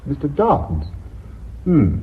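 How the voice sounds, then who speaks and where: An actor in a radio drama playing a dry, sardonic, supercilious board member with a cutting deadpan manner. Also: male voice, fairly high pitch, takes it slowly, slightly rough, full character voice. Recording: thin, narrow-band radio recording.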